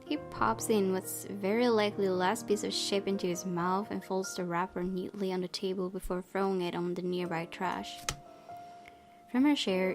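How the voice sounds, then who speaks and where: Woman narrating over clear background music. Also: medium pitch. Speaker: gaming channel host. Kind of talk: narrating a visual novel aloud with asides. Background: game music.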